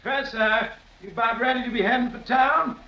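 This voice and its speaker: A man's voice: very high voice, a little fast, bright, forceful tone, exaggerated voice. An actor playing a plain-spoken, scolding Maine boatman, in 1940s radio style. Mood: folksy scolding. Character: folksy, exasperated small-harbor Maine boatman. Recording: muffled, noisy 1940s radio recording.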